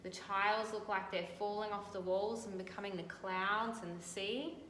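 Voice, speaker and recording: An Australian woman teacher reading a picture book aloud and gently pointing out details in the pictures. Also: medium pitch, steady tone, normal speed. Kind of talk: storybook read-aloud with commentary on pictures. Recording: echoey room, faint background noise.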